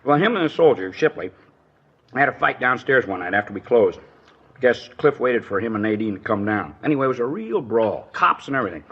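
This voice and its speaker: A man's voice: medium pitch, expressive, fairly quick, slightly rough. A man playing a chatty, nervous dance hall proprietor with rambling, slangy speech in an old radio drama. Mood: gossipy. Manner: rambling, folksy, ungrammatical slang. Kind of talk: 1950s radio drama acting, naturalistic. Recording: dull old radio recording.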